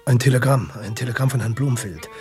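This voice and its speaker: An actor playing a flustered, urgent hotel employee in a German radio drama, speaking loudly and in a hurry. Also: male voice, medium pitch, lightly acted. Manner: hurried, loud.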